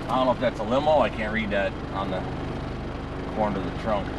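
A man's voice: fairly low pitch, brisk. A man, a truck driver musing aloud in an offhand, rambling way as he drives. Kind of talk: casual driving commentary. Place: inside a truck cab on the road. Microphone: dash camera in the cab. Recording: loud engine and road noise.

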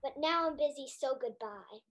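Woman as a soft, mumbling blithe peasant. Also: sits high, lively intonation, normal speed, dry recording, voice pushed up. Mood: meek, blithe. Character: mumbling male peasant, blithe, simple, singsong.

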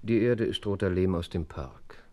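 An actor playing a brisk, commanding detective in an audio drama, curt and imperious. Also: male voice, deep voice, warm tone, close to an everyday voice. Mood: keen, confident. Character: brisk, commanding, sharp-minded male detective. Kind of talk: German audio drama acting.

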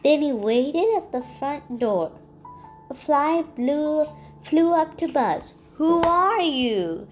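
A child reading a picture book aloud in a deliberate, simple storytelling manner for young listeners. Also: high-pitched, mellow, soft background music. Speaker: read-aloud host.

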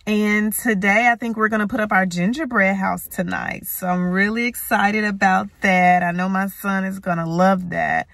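A woman's voice: medium pitch, steady tone, moderate pace, gravelly, hardly any pauses, a bit bright, no echo. A woman in her thirties with an American accent, chatting casually and informally to her viewers as a vlogger. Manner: informal, addresses viewers as y'all. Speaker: lifestyle vlogger, host. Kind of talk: casual vlog chat to viewers. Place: parked car and home kitchen.

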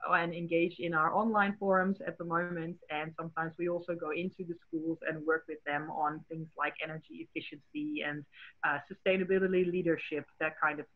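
A woman from the Netherlands with a Dutch accent, a marine biologist presenting slides on a video call in a friendly, explanatory way, with many fillers. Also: low voice. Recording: tinny phone-like call audio.

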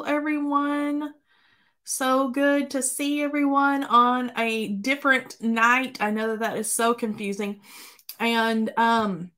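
A woman in her forties, slightly hoarse, chatting casually as she hosts a live craft stream from her home craft room and greets viewers by name. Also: fairly high pitch, bright, forceful tone. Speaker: craft livestream host.

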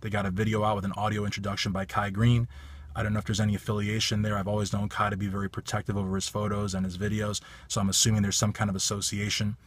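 A man in his thirties with a raspy voice, giving a blunt, emphatic bodybuilding opinion vlog from a parked car on a phone held at arm's length. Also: on the low side, level tone, fast. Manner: blunt, emphatic, repeats stock phrases. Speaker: bodybuilding commentator.

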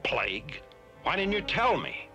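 A man's voice: on the high side, sing-song, unhurried, vocal fry, sharp tone, faint music behind, exaggerated voice. A man playing a stubborn, indignant starship doctor in a cartoon, emphatic and agitated. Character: indignant, stubborn human male ship's doctor. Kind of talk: 1970s TV cartoon acting.

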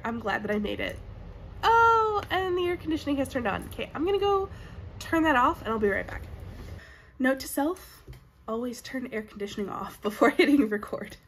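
A young Canadian woman hosting a knitting vlog from home, chatty and upbeat, sounding nasal and sniffly from a cold. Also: high voice. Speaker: knitting podcast host. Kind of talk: casual chat to camera. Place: home room with bookshelves, indoors. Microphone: camera in front at desk distance.

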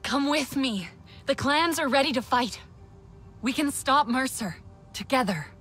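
Young alien heroine, persuasive and resolute, higher pitch, light score underneath. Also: female voice, animated, unhurried, a bit bright, husky, faint music behind, exaggerated voice. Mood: determined. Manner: earnest, persuasive. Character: earnest, determined young Na'vi heroine.

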